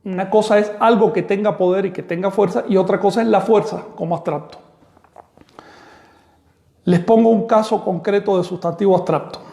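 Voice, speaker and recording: A man giving an online language lesson, explaining terms slowly and deliberately. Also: sits high, creaky, warm tone. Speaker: language teacher. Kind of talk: online lecture. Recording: echoey room.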